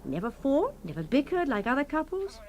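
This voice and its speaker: A woman's voice: high-pitched, sing-song, fairly quick, darkish tone. An actress playing a clinging, melodramatic wife in her thirties in a radio drama, pleading and sighing theatrically. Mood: wistful, nostalgic.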